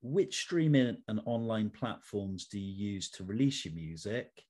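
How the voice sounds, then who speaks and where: Guy hosting an interview, kinda low voice, formal wordy questions, measured. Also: animated, a little mellow. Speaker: interviewer, host.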